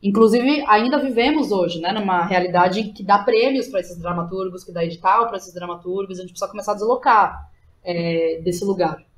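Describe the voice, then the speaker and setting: A woman's voice: mid-range voice, moderate pace. Effusive Brazilian woman chatting casually. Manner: colloquial, effusive, emphatic. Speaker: podcast guest, playwright and educator. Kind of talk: casual conversational interview.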